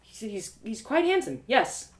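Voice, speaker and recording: A woman's voice: a little high, big pitch swings, measured pace, echoey room, voice pushed up. A woman playing a curious young woman adventurer, tactful, hesitant and easily flustered, in an audio story.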